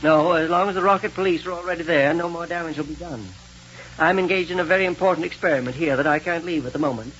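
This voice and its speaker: A man plays a resolute scientist in a vintage radio space serial. The delivery is quick and authoritative, set fairly high. The sound is muffled with faint noise. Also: a bit bright, lightly acted. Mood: brisk, resolute. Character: determined, authoritative male scientist and inventor. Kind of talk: 1930s radio serial acting. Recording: muffled, noisy 1930s radio recording.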